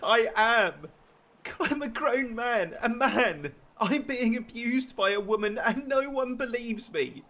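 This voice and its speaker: A man's voice: extremely high pitch, takes it slowly, sharp tone, heavily acted. An actor playing an anguished, ashamed businessman abused by his partner, in an emotional, despairing audio drama performance.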